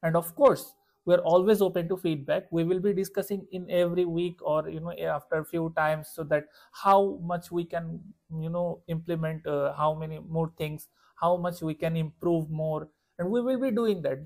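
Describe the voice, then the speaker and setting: A young man from Bangladesh with a Bangladeshi accent, a freelance ad marketer earnestly pitching his services to a client on a call. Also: fairly even intonation, moderate pace, creaky, a little mellow. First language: Bengali. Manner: earnest, reassuring, fillers of "you know". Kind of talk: sales call.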